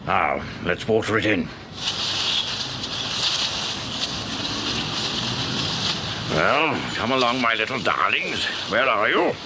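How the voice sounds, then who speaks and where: Fretful older British man, very animated. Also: on the low side, moderate pace, background noise. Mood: busy, keen. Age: 60s. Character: fretful retired office man obsessed with his prize lawn. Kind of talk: radio drama acting.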